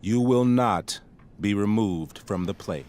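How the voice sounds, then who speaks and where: An actor playing a stern, middle-aged high school principal with a measured, officious manner in a video game drama. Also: male voice, a little mellow, a little hiss or hum. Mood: firm, reproving.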